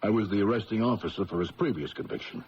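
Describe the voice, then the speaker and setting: An actor playing a blunt police officer giving matter-of-fact testimony in an old radio drama. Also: male voice, lowish voice, quick pace, a little mellow, lightly acted. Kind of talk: 1970s radio drama acting. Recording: muffled radio broadcast with hiss.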